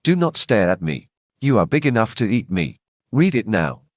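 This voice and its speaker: A gruff, impatient messenger of the king, hungry and pushy, as a storyteller puts him on. His voice swings up and down with lively pitch. It is recorded close and dry. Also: moderate pace, dry recording. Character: hungry blustering male king's messenger, middle-aged.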